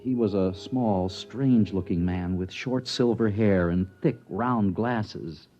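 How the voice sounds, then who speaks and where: An actor playing an anxious, rule-bound office worker in a 1950s radio drama of a regimented future, earnest, agitated and stammering. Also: male voice, lowish voice, slow, mellow. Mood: uneasy. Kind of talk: 1950s radio drama acting with first-person narration. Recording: dull 1950s radio recording, music behind.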